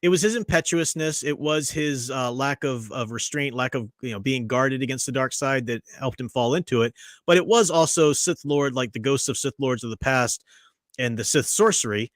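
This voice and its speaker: A man's voice: medium pitch, normal speed, no room sound, studio quality. A man hosting a casual review chat, talking eagerly and at length, often restarting his sentences. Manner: enthusiastic, talkative, restarts often. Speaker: podcast host.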